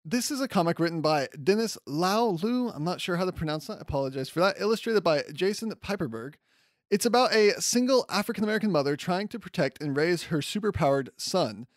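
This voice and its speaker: A man's voice: a little high, no echo, clean studio sound. A man hosting a solo podcast, running through news in a chatty, informal and enthusiastic way. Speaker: podcast host. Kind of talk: casual solo news commentary.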